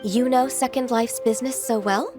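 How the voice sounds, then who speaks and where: A woman voicing a calm, diligent young secretary who speaks in a composed, polite way in a game. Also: a little high, normal speed, close to an everyday voice. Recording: game cutscene with background music.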